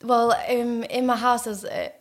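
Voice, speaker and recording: A young woman from Manchester with a Manchester accent, telling her life story as a podcast guest, halting with many fillers and restarts. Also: fairly high pitch, fairly even intonation. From Manchester, England. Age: young adult. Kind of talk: casual personal storytelling.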